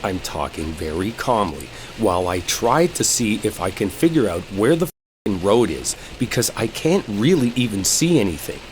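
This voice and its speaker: A man's voice: fairly low pitch, very animated, normal speed. A man playing an irritated, sarcastic coworker arguing as he drives, in a roleplay audio drama. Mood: simmering sarcasm. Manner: irritated, sarcastic, swearing, argumentative. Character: exasperated, sarcastic male coworker arguing while driving. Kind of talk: enemies-to-lovers roleplay audio acting. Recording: rain and car noise behind. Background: rain storm and vehicle ambience.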